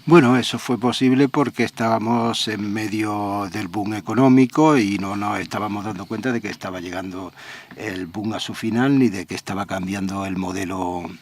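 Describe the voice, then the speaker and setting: A man's voice: fairly low pitch, fairly even intonation, moderate pace. A man, a journalist guest on a radio interview, thinking aloud and often restarting his sentences. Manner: reflective, searching for words with restarts. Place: local radio studio.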